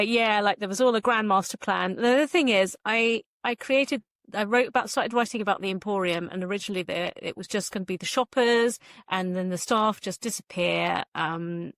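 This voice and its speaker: Woman, interview guest, candid, thinking aloud, rambling casual talk, mid pitch, dry sound. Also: a bit bright, no echo. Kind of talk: casual conversational interview answers.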